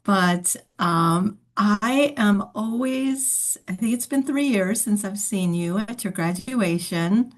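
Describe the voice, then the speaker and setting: A woman's voice: medium pitch, slow pace, hardly any pauses. A woman supporter asking friendly, curious questions on a webinar video call. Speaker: charity supporter.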